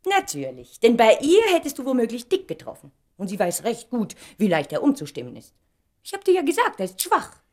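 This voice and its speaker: An actress in a German radio play voicing a proud, easily offended actress with a cutting, theatrical manner. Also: female voice, a little high, wildly swooping pitch, a little fast. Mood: exasperated.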